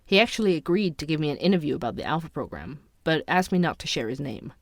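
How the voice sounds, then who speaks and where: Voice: mid-range voice, very animated, fast talker, dry recording. An actor playing a persistent investigative podcast reporter in an audio drama, narrating in a dry, wry, conversational way. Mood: wry, matter-of-fact.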